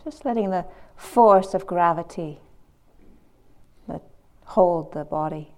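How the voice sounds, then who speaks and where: A woman teaching guided meditation, gently and in measured phrases with long pauses. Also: lowish voice.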